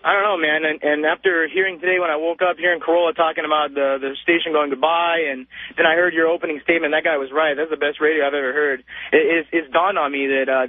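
A man's voice: fairly high pitch, no room sound. A man calling a radio show, chatting in a casual, slangy, informal way over the phone. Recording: tinny phone line on radio.

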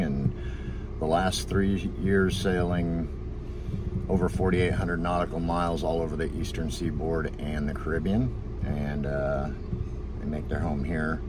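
Deep-voiced man with background noise. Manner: relaxed, with frequent ums and uhs. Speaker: sailing vlog host. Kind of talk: casual product review and vlog narration. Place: outdoor backyard patio.

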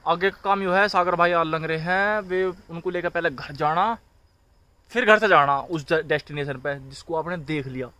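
A man's voice: fairly high pitch, moderate pace, bright, forceful tone, smooth, no room sound. A man in his twenties with a western Uttar Pradesh accent, chatting informally to viewers as a vlogger. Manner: informal, addresses viewers directly. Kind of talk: casual vlog chat. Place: outdoors, roadside and restaurant garden.

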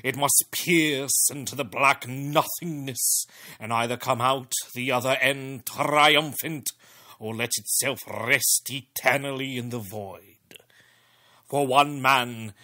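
An actor playing an ominous narrator who introduces a radio horror tale in a portentous, theatrical way. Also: male voice, medium pitch, bright, forceful tone.

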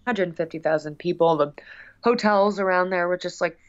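A woman musician chatting casually as an interview guest, gushing and rambling excitedly. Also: lowish voice, moderate pace. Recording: slightly dull, lo-fi audio.

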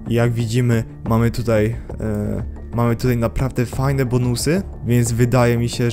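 A man in his thirties hosting a crypto channel, giving measured critical commentary in a voice-over and speaking straight to his viewers. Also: medium pitch.

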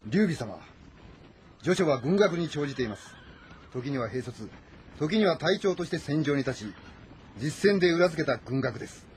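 Actor as an earnest higher-voiced retainer. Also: male voice, expressive, moderate pace, long pauses, slight background noise, lightly acted. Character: earnest, respectful retainer of an ancient Chinese lord. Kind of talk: dramatic audio-novel acting in a video game.

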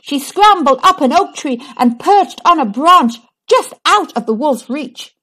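A woman reading a children's story aloud with dramatic, deliberate, expressive storytelling. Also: sits high, rough recording. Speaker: storybook reader.

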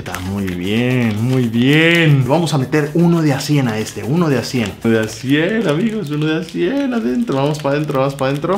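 A man in his thirties with a Mexican accent, vlogging in a loud, joking, animated way, filmed close up by his own camera. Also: medium pitch. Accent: Mexican Spanish. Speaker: YouTuber. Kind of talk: excited vlog presenting. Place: small room with foam panels, and a store.

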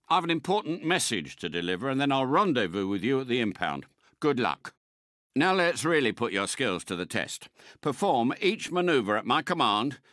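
An actor playing a suave, courteous British spy car in a video game, a polite and unflappable mentor with refined, precise phrasing. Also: male voice, medium pitch, a bit bright, no echo, studio quality. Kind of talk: scripted game character voice lines.

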